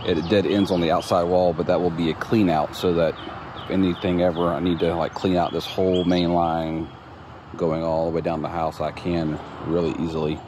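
A man casually walking through his home's construction site, explaining the plumbing in a relaxed, plain-spoken way with plenty of ums. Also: low voice, normal speed. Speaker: homeowner showing his build. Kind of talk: casual walkthrough narration. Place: outdoors at a building site. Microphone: phone camera held while walking. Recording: outdoor phone audio with clear background noise.

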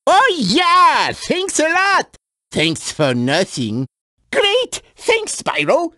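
A woman playing an excitable, flustered scientist in a video game, stammering and exclaiming. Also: high-pitched, extremely slow, no echo, clean studio sound, exaggerated voice. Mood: excited. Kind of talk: animated video game character dialogue.

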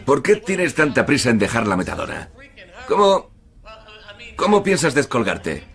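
A man's voice: mid-range voice, sing-song, normal speed, creaky, fairly bright tone. An actor with a Castilian Spanish accent dubbing an informal, plain-spoken man in his fifties for a documentary. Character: plain-spoken man of fifty-seven in methadone treatment. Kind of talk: dubbed documentary conversation.

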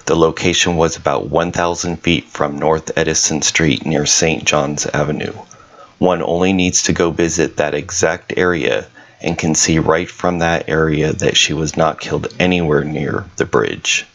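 A man giving a measured, serious scripted voice-over narration about a local ghost story. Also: very deep voice, fairly even intonation, a bit bright. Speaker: paranormal investigator narrator.

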